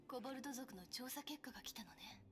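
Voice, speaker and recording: A woman's voice: high voice, racing. An actress voicing a composed, knowledgeable woman giving a serious briefing in a Japanese video game. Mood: serious, explanatory. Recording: game music loud behind the voice.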